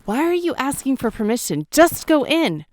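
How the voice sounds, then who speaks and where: A woman's voice: high voice, sing-song, normal speed, slight background noise. A woman acting as an earnest, emotional doctor, a ghostly companion to a survivor, in a radio drama. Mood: urgent, pleading.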